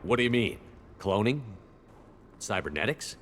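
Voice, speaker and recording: An actor playing a military commander in a video game, direct and serious, asking clipped questions and demanding answers. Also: male voice, lowish voice, sing-song, a little slow, fairly bright tone, slight background noise. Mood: probing.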